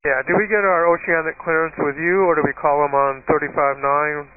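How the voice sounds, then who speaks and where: A man's voice: on the high side, steady tone, a little fast. A man speaking as a pilot over aircraft radio in clipped readback phrases. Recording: muffled, staticky air traffic radio.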